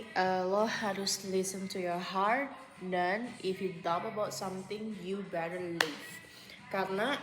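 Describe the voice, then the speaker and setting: A woman in her twenties talking casually to camera at home in a vlog, chatty and full of fillers, often slipping into English phrases. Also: fairly low pitch. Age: 20s. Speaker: vlogger. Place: at home, indoors. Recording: echoey room.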